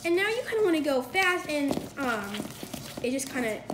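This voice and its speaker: A woman's voice: high voice, sing-song, moderate pace. A young woman hosting a casual home cooking video, chatty and upbeat, with lots of fillers and self-corrections, recorded on a phone in a kitchen. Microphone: phone camera across the counter. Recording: echoey kitchen with noise.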